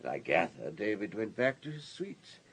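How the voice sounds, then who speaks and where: A polished, well-to-do man of the world in a radio play. His pitch swings freely as he confides and explains with courteous self-assurance, like a practised storyteller. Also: wildly swooping pitch, moderate pace, a little hiss or hum. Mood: confiding, measured. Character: urbane, wealthy older businessman, discreet and self-assured. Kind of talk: radio drama acting.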